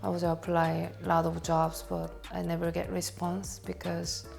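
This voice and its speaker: A woman speaking English as a second language with a Korean accent, telling her story as a barber in a documentary interview, in halting phrasing and laughing at herself. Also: fairly low pitch, completely flat delivery, gravelly, a little mellow, soft background music.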